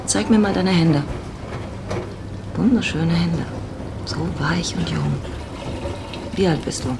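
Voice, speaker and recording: A woman's voice: lowish voice, slow, gravelly, noisy background. An actress playing a worldly, flirtatious married woman in her forties, sardonic and self-assured, in a radio drama. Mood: teasing, flirtatious. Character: worldly, teasing 45-year-old married Mexican woman.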